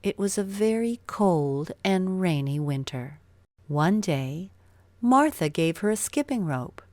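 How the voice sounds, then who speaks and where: Casting: female children's storyteller, slow delivery, lowish pitch with very animated melody, dry studio-close sound. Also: no echo. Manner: expressive, deliberate storytelling. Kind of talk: scripted children's story narration.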